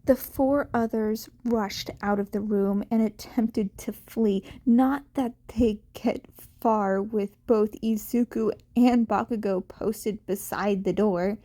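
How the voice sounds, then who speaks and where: A woman's voice: mid-range voice, fairly even intonation, takes it slowly, few pauses, warm tone. A woman reading a story aloud as scripted narration, occasionally stumbling and correcting herself. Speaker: fan fiction reader.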